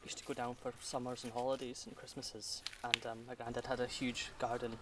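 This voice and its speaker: A man's voice: mid-range voice, flat delivery, brisk, far from the mic, heavy background noise. A Scottish man in his thirties chatting casually while gardening, laughing often and trailing off with fillers. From Scotland. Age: 30s. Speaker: podcast guest.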